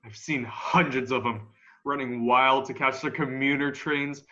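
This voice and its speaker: A young man playing a grim, defiant militia survivor of an alien invasion in an amateur radio play, with a folksy, halting, emphatic delivery. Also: medium pitch, steady tone, sharp tone. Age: young adult. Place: room at home. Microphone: video call. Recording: dull, lo-fi video call.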